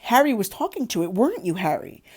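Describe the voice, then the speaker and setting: A woman voicing an excitable, tattling schoolboy in a storybook read-aloud. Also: mid-range voice, fast, husky. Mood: sly tattling.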